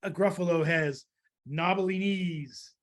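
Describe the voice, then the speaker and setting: A storyteller voicing a small, clever, polite mouse in a children's story, teasing in drawn-out phrases. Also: mid-range voice, lightly acted. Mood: polite, confident.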